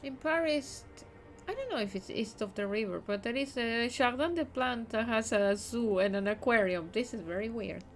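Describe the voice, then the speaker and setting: A woman's voice: fairly high pitch, moderate pace, soft background music. A woman streamer with an Argentinian accent, chatting and laughing while playing a game live. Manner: chatty, giggly, reads aloud.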